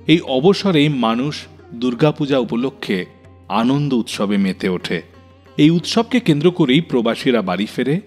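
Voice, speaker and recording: Expressive male radio narrator in long reverb. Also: mid-range voice, measured pace. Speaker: radio show host. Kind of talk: scripted narration with literary readings. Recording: long reverb, faint music behind. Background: soft music.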